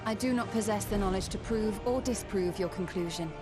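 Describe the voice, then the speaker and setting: Woman doing a wise proud sorceress for a video game, medium pitch, calm and firm, talks all formal and old-timey, music behind. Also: close to an everyday voice. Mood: composed, firm. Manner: composed, formal, archaic, measured delivery. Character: proud, wise scholarly sorceress, composed and resolute. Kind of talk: scripted video game drama acting.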